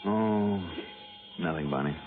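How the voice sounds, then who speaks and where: A man's voice: low voice, takes it slowly. An actor in an old radio drama playing a laconic, wisecracking private detective who also narrates his case. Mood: wry, evasive. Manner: wry, laconic, hard-boiled. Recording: tinny narrow-band old radio recording with music.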